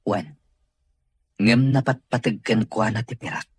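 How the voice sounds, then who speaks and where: A man playing a grateful, earnest boss recovering in therapy, in an Ilocano radio drama, with a Filipino accent and a husky voice. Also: lowish voice, unhurried. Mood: resigned, explaining.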